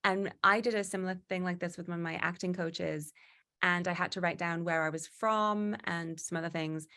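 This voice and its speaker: A young woman from Newcastle, leading an online workshop in a lively way and acting out the voices she quotes. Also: fairly low pitch, normal speed. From Newcastle, England. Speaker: workshop facilitator.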